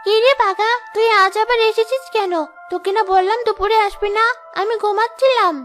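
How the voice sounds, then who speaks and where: A woman's voice: very high voice, fairly even intonation, fairly quick, fairly bright tone, soft background music. A woman voicing a fearless, childlike little village girl in a cartoon fairy tale, affectionate and plain-spoken. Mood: affectionate scolding.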